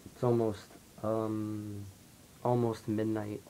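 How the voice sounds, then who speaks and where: A teenage man talking softly and rambling through a confessional vlog, his voice raspy from a dry throat. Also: fairly low pitch, level tone, slow, a little hiss or hum. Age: late teens. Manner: soft-spoken, rambling, heavy on fillers. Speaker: vlogger. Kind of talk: confessional casual monologue.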